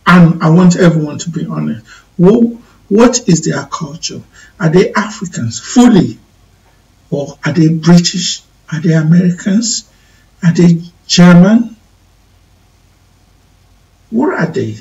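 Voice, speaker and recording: A man's voice: a little high, lively intonation, slow pace, long pauses, darkish tone, recorded from a distance, highs cut off. Nigerian man hosting a live call-in show. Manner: hesitant, many ums and restarts. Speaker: radio show host. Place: studio in the UK.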